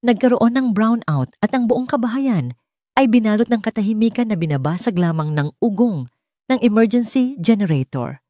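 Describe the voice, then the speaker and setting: A woman's voice: medium pitch, animated, moderate pace, dark tone, no room sound, studio quality. A woman with a Filipino accent reading a scripted romance story as an audiobook narrator.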